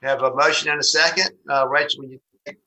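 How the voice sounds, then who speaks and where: A man's voice: mid-range voice, fairly even intonation, fast, bright, forceful tone. A man chairing a public board meeting in an informal, folksy and somewhat hesitant way.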